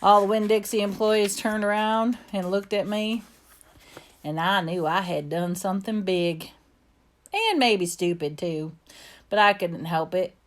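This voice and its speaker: An earnest young Southern girl , played by a woman, tells her own story in a folksy, plainspoken way. The accent is Southern US, the pitch ordinary, and it has the feel of a children's storybook read aloud. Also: unhurried, close to an everyday voice. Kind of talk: first-person storybook narration.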